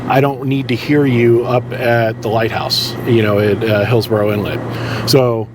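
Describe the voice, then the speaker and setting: A man talks through practical tips in a casual vlog style. His mid-range voice moves in a halting, conversational way. A low ambient drone sits faintly behind the speech. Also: fairly even intonation, noisy background. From southeastern United States. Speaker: charter boat captain presenting tips.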